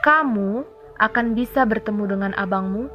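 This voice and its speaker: A wealthy, hard-hearted merchant played by a woman storyteller speaks firmly and will not yield. It is a stern folktale role. Music sits clearly behind slightly dull audio. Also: mid-range voice, moderate pace, lightly acted. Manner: firm, unyielding.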